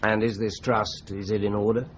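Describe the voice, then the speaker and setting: An actor playing a polite, measured police inspector in a radio drama. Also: male voice, on the low side, little pitch movement, background noise, natural voice. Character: calm, courteous Scotland Yard inspector, man. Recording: dull lo-fi radio recording.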